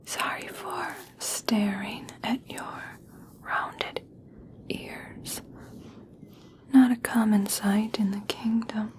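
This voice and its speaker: A woman performs a young elf princess in an ASMR fantasy roleplay, caring and quietly curious. She speaks in a soft whisper at a very unhurried pace, with a lightly high, feminine tone. Her manner is soothing and tender. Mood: gently curious.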